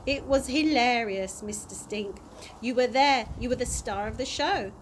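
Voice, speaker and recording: A woman's voice: fairly high pitch, measured pace, close to an everyday voice. A woman reading the part of an eager, caring young girl in a storybook, earnest and questioning. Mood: insistent. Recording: audible background noise.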